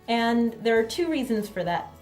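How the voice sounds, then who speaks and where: A woman teaching a grammar lesson while sitting in front of her camera, speaking clearly and deliberately. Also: fairly high pitch, expressive, a little mellow. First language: not Korean. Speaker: language teacher. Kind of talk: explaining to camera. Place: sitting indoors. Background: faint background music.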